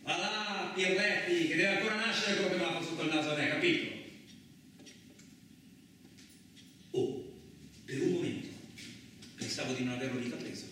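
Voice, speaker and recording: A man's voice: fairly high pitch, quick pace, pushed and bright. A man playing a boastful, hot-tempered truck driver, in a colloquial theatrical radio monologue full of muttered asides. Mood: boastful, confiding. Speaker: actor. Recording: very echoey, distant, lightly noisy room.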